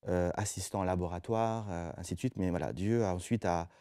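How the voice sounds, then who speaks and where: A man's voice: sits low, fairly even intonation, brisk, warm tone, clean tone, dry recording, studio quality. A middle-aged man from Belgium, speaking conversationally as a pastor being interviewed, often hesitating and repeating words as he explains. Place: TV studio set.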